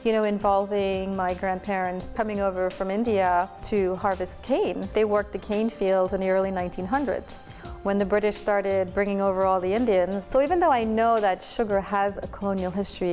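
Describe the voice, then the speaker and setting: A woman's voice: mid-range voice, darkish tone. A woman artist from Trinidad who answers interview questions in an effusive, personal way. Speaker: visiting artist. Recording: music bed underneath.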